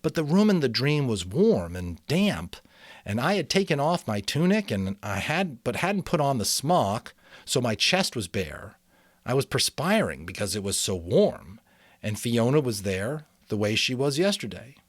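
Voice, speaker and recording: A man's voice: medium pitch, very animated, a little slow, no room sound. Storybook boy, played by a male reader: earnest, hesitant, careful in explaining, dry close sound. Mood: earnest, recalling. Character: hesitant, earnest young boy recounting an embarrassing dream.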